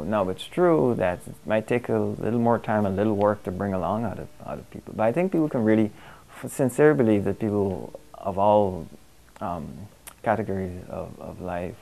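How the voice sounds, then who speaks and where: A man from Guyana who answers interview questions thoughtfully, pausing often with "um" as he searches for words. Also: lowish voice, gravelly, dark tone, poor audio. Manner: thoughtful, halting, with many pauses and "um"s.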